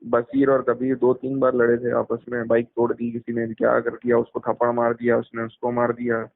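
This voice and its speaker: A man's voice: mid-range voice, monotone, normal speed, creaky. A young man chatting casually and telling stories in slangy speech, quoting people as he goes, recorded over a phone call. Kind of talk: casual chat, telling anecdotes from youth. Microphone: phone line.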